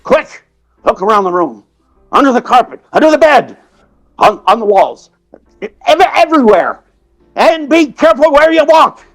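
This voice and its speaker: A man playing an excitable, money-minded theatrical agent in an old-time radio drama, animated and stammering when flustered. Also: very high voice, moderate pace, pauses often, full character voice. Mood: frantic. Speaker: narrator and lead character.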